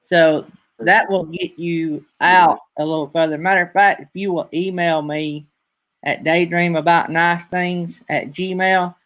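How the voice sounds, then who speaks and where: A man's voice: on the high side, fairly even intonation, unhurried, a bit bright, highs cut off. Rambling Southern man hosting a chat. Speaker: host. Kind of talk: casual live-stream chat.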